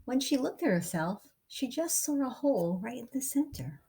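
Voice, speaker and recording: A woman reading a picture book aloud as a storyteller, with expressive, deliberate and dramatic phrasing for young listeners. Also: high voice, slightly rough. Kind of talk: expressive children's read-aloud narration.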